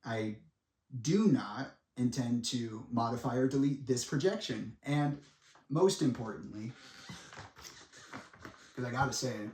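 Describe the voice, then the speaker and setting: A man in his twenties giving a monologue to viewers in a dramatic, deliberate way, with pauses and blunt asides. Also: mid-range voice. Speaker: speaker making a personal public statement. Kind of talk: semi-prepared monologue addressed to viewers. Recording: echoey room, distant microphone.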